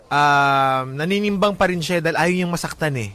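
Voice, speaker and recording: A man's voice: on the high side, moderate pace, pushed and bright. A Filipino man hosting a radio love-story show, moving between reading and casual, blunt, joking talk with some English mixed in. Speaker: radio host. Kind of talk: casual advice banter and dramatic reading of listener letters on love. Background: background music.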